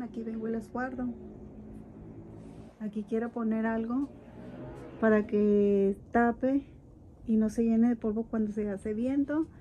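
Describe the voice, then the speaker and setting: A woman's voice: a little high, warm tone, slight background noise, rough recording. A middle-aged woman, chatting casually to viewers as she walks through her house filming on a phone. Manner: chatty, homely, addresses viewers directly. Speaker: vlogger giving a home tour. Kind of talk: casual home tour narration. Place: house and yard, indoors and outdoors. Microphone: phone camera held while walking.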